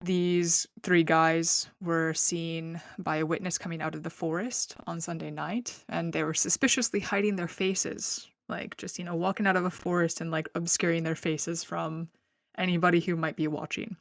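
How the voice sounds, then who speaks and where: Young woman podcaster, casual rambly, lots of likes and laughs, fry in her voice, dry close mic. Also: lowish voice, fairly even intonation, moderate pace, no echo. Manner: chatty, laughing, filler-heavy. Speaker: podcast host. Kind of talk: casual true crime storytelling.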